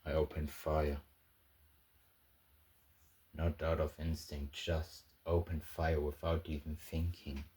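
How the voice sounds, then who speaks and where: Male actor as war-weary sci-fi soldier, extremely low pitch, flat monotone delivery, halting short phrases, husky, grim audio log narration. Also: heavily acted. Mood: grim, tense. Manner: halting, broken into short phrases with heavy pauses. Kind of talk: scripted first-person audio log narration, amateur sci-fi roleplay.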